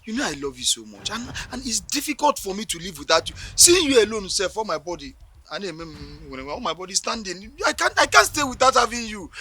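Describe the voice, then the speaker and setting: A man with a Nigerian accent playing a boyfriend who pleads with his girlfriend and then shouts at her in a fury. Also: high voice, very animated, brisk, slight background noise, exaggerated voice. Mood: pleading. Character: pleading young boyfriend turned furious.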